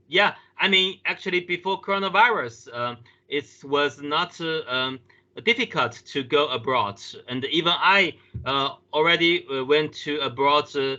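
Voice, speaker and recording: Bright-voiced man, halting. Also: medium pitch. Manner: halting, many filler sounds. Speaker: professor, conference panelist. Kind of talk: hesitant conference Q&A answer. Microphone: video call. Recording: muffled video call audio.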